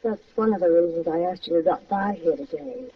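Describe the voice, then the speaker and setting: A woman's voice: lowish voice, fairly quick, close to an everyday voice. A muffled, slightly distant vintage radio recording features a woman acting a seductive, calculating wife. Her delivery is coy and theatrical, in crime-drama style. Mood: coy, scheming.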